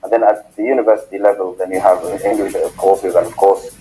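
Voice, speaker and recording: Deep, mellow man. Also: steady tone, fairly quick, soft background music, poor audio. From Sudan. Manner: polite and formal. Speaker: PhD student interviewee. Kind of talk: academic interview self-introduction.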